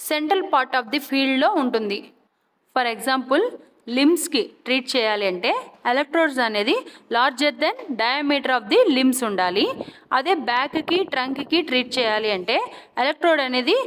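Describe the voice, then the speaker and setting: A woman with a Telugu Indian accent giving a scripted educational lecture as an instructor, deliberate and point by point, mixing in English technical terms. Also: sits high, fairly bright tone. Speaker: physiotherapy instructor. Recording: long hall-like reverb.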